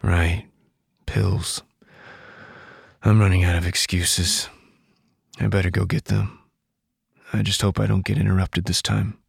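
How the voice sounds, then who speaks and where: A withdrawn young man thinking aloud in an audio drama. His low voice is gravelly and husky, with a dry, understated delivery that stays nearly flat. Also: measured pace, long pauses, exaggerated voice. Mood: dry, resigned. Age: young adult. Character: weary, withdrawn young man narrating his inner thoughts. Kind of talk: audio drama inner monologue.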